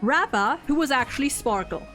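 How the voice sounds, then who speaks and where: A woman with a fairly high voice chats casually about gaming. She is animated and gushing, often slipping into quotes as she explains. Soft music plays behind her. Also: fairly quick. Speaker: co-host ranking game story quests.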